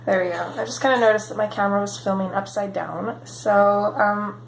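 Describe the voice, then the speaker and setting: A woman's voice: mid-range voice, normal speed, creaky, echoey room, a little hiss or hum, poor audio. A woman hosting a coloring video, talking casually with lots of ums while she works, a bit sniffly from a cold. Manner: relaxed rambling with frequent ums. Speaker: coloring channel host. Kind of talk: casual chatty coloring tutorial.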